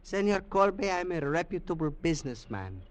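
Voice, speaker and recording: A smooth, evasive old Peruvian antiques collector in an old-time radio play. His voice sits high. He hesitates now and then but keeps pressing his case. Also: big pitch swings. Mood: persuasive. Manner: formal, slightly halting and persuasive. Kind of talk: 1950s radio drama acting.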